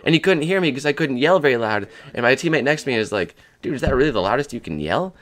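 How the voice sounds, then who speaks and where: Hoarse, fast-rambling young guy. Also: medium pitch, animated, a bit bright. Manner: rambling, crude, jokey, lots of "dude" and "man". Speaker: gaming channel host. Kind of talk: casual rambling commentary over gameplay.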